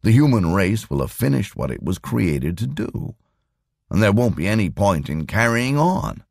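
A man voicing an excitable, worried male computer engineer in an audiobook, talking urgently and conversationally. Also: on the low side, normal speed, vocal fry, slightly rough, no room sound, studio quality, voice pushed up, full character voice. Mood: explaining, excited.

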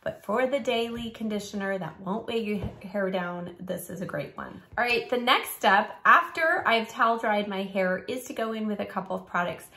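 A middle-aged woman, a little raspy from a cold, chatting upbeat and instructive as she reviews hair products from her home studio. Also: medium pitch, moderate pace, hardly any pauses, sharp tone. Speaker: beauty vlogger. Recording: roomy home recording.

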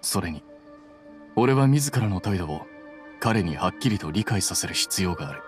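A man playing a cool, aloof young scholar-official in an anime game, speaking in a measured, condescending deadpan. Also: deep voice. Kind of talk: Japanese anime game voice acting. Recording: music behind the voice.

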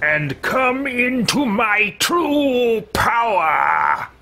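A man voicing a pompous, power-hungry fantasy mage with theatrical, drawn-out delivery in a video game roleplay. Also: very high voice, very animated, pushed and bright, voice pushed up, heavily acted. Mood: gloating. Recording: faint background noise, game ambience.

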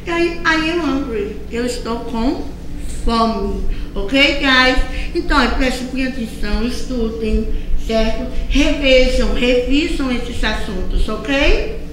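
Slow, high-voiced Brazilian woman teacher. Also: a bit bright. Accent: Brazilian Portuguese. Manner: slow, drawn-out explaining with frequent checks like "tá?" and "ok?". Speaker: school English teacher. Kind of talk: recorded lesson for young children. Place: school recording studio with a cartoon backdrop. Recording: very echoey room with clear background noise.

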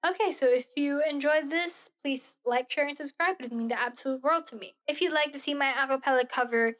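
Bright-voiced hoarse young woman vlogger. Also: high voice, a little fast. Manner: gushing, earnest, gestures while talking. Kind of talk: casual review vlog. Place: small bedroom. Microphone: studio mic on boom arm, close.

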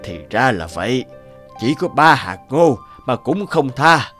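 A man voicing a weary, honest old farmer in an animated folktale. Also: medium pitch, a little slow, faint music behind. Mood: weary, dismayed. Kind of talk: Vietnamese animated folktale dialogue.

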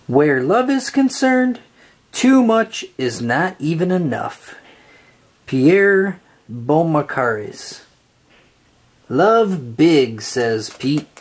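A storybook reader reading a children's picture book aloud in an expressive, sing-song way with drawn-out phrases. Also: mid-range voice, breathy edge.

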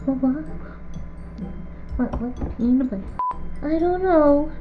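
A woman's voice: high-pitched, takes it slowly, narrow, tinny audio, soft background music. A woman voice actor in casual outtakes, flustered and muttering to herself. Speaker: amateur voice actor.

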